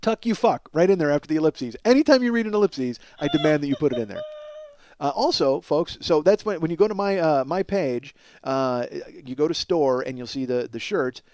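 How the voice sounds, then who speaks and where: A man's voice: on the high side, expressive, fairly quick, a little mellow. A man in his forties hosting a comedy podcast, rambling through casual stories in a profane, self-deprecating, emphatic way. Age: 40s. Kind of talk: rambling comic monologue, casual storytelling.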